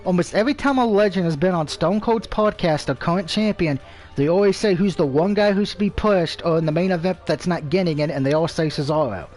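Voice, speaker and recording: A man's voice: high voice, brisk, darkish tone. A man casually commentating on a live game stream, rambling and swearing, with opinions on everything and a speech impediment.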